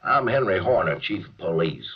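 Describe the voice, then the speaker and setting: An American actor playing a disgruntled small-town police chief in a 1950s radio drama, speaking in a folksy, wry way. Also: male voice, low voice, very animated, normal speed, breathy edge, lo-fi sound, exaggerated voice. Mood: folksy, official. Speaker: actor narrating in character. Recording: band-limited old 1950s radio recording.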